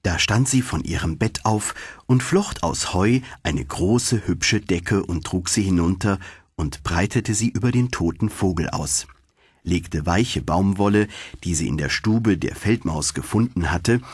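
A man narrating a fairy tale for an audiobook, expressive and deliberate in his storytelling. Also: deep voice, breathy edge, no room sound, studio quality.

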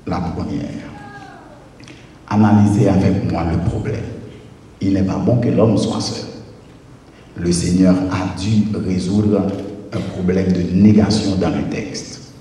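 A man preaching a deliberate, measured wedding sermon from a pulpit, holding a handheld microphone in a large church. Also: low voice, steady tone, mellow, a little hiss or hum. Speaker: pastor. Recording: echoey church hall from far off.

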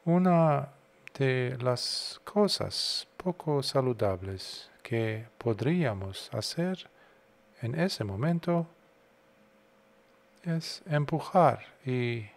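Guy leading a guided meditation, super slow, short phrases w long pauses, mid-pitched voice. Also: expressive, vocal fry. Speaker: Buddhist monk, meditation guide.

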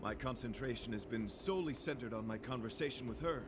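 A man's voice: mid-range voice, fast, close to an everyday voice. A man voicing an earnest, serenely grandiose knight in a video game, with formal, ceremonious phrasing. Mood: courteous, earnest. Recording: game audio with clear background noise.

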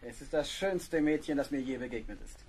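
An actor playing an idealistic, courteous young ship's officer in an audio drama. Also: male voice, medium pitch, fairly quick, over loud music, lightly acted. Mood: earnest.

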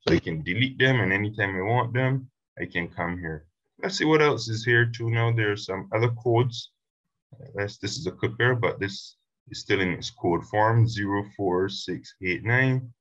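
A man teaching a screen-recorded software tutorial, explaining step by step in a relaxed way with fillers. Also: on the low side, normal speed, muffled recording. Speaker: instructor.

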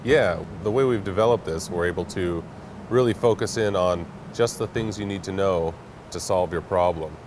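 A man with a low voice explains a tech product as an interview guest. He is matter-of-fact and slightly hesitant, with clear warehouse noise around him. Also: moderate pace, a little mellow. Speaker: network product company representative, guest. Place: large indoor warehouse staging space.

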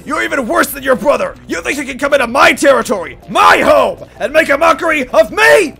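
A man voicing a furious, roaring giant male reptile king, shouting high and loud over faint music. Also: big pitch swings, a little fast, gravelly, full character voice. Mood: raging. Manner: shouting, bellowing rage.

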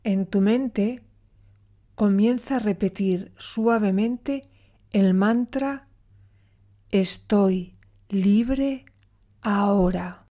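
Female meditation guide: mellow, relaxed tone, slow delivery, soothing, with long pauses. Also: medium pitch.

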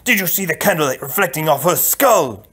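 A man voicing a vain, bad-tempered young prince in a storybook, blunt, loud and blustering. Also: medium pitch, lively intonation, normal speed, breathy edge. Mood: furious.

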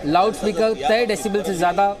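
A man reading a voice-over translation of a political statement with deliberate, emphatic phrasing. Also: sits high, a loud crowd.

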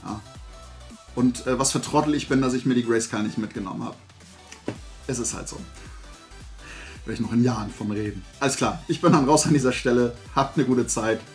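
A man's voice: mid-range voice, a little fast, pauses often, soft background music. A man in his forties from Germany chatting casually to camera as a vlog host, in a colloquial, self-deprecating way. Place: small indoor room lined with collectible shelves.

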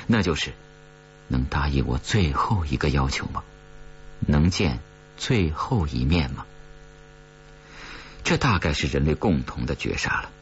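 A man narrating a story as an audiobook reader, in a dramatic scripted style. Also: a bass voice. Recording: dull recording with faint hum.

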